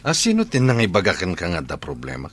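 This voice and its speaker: A man acting a gruff, hot-tempered middle-aged father and husband in an Ilocano radio drama, curt and blustering, with an Ilocano Filipino accent. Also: low voice, wildly swooping pitch, fast talker, a little hiss or hum. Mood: sullen.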